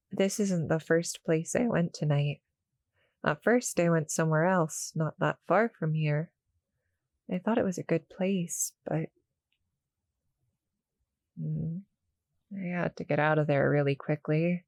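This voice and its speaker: A woman playing a shy, gentle succubus cuddle monster in an intimate roleplay audio, speaking hesitantly, softly and politely to the listener. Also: sits low, no room sound, full character voice. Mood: hesitant, explaining. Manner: hesitant, soft-spoken, polite, apologetic.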